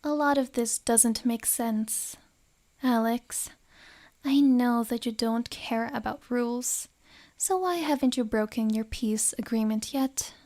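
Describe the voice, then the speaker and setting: A woman playing a possessive, lovesick yandere in an ASMR roleplay, with soft, whispery, formally phrased speech and a wounded air. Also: on the high side, slow. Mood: suspicious.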